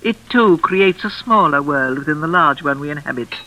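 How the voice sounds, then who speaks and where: An actress playing an elderly, genteel yet ominous grieving widow in a theatrical, formal 1940s radio drama style. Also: female voice, sits low, lively intonation, moderate pace, fairly bright tone. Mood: wistful, grieving. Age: older. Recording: vintage radio recording with frying crackle.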